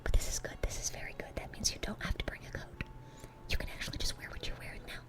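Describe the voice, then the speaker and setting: A woman's voice: fast, lightly acted. A woman playing a dorky, eager young demon in a whispered ASMR roleplay, rambling sweetly and often repeating her words. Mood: excited, gentle.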